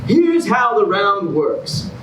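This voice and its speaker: Man acting showy high-pitched game show host. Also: very animated, takes it slowly, exaggerated voice. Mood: showy, upbeat. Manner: grandiose, emphatic announcing. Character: showy, excitable, self-satisfied male TV contest host. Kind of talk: theatrical game show presenting in a staged reading. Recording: distant echoey stage recording, clear noise.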